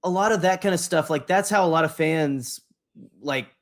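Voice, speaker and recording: Rambling, higher-voiced man chatting casually. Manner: rambling, halting, often rephrasing mid-sentence. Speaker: podcast co-host. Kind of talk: casual fan discussion.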